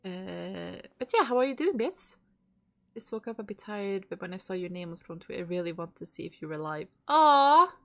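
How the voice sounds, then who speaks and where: A woman's voice: mid-range voice, big pitch swings, moderate pace, vocal fry, a bit bright. A woman with a stuffy nose streaming a game, reading its dialogue aloud and chatting casually with viewers, with the odd curse thrown in. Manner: reads lines aloud, swears and laughs between.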